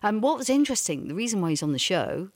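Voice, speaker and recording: A radio co-host chatting casually, quick to agree and eager to share trivia. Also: medium pitch, big pitch swings, a little mellow, no echo.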